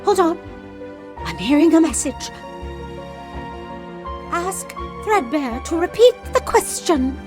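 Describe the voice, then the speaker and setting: A woman playing an airy, unflappable mystical fortune teller in a comic audio drama, with deliberate, dramatic delivery. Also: extremely high pitch, big pitch swings, music in the background, full character voice.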